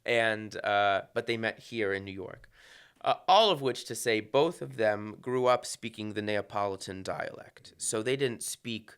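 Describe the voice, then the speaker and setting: A man's voice: fairly low pitch, measured pace, a bit bright, smooth. A conductor being interviewed on a podcast, talking in a reflective way and often restarting his sentences. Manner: reflective, many restarts and fillers.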